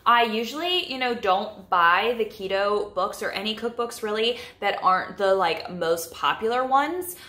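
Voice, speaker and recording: A woman's voice: medium pitch, expressive, normal speed, hardly any pauses, pushed and bright. A young woman in her twenties chatting casually to camera in her home kitchen, with lots of fillers like "like" and "you know.". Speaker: vlogger. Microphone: camera in front of her at close range.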